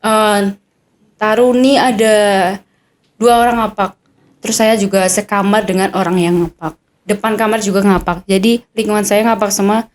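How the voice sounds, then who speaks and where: A young woman hosts a relaxed podcast chat with a cadet guest. She is chatty and curious and keeps the talk moving with eager follow-up questions. Her voice sits at an ordinary middle pitch for a woman. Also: normal speed. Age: young adult. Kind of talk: casual podcast interview.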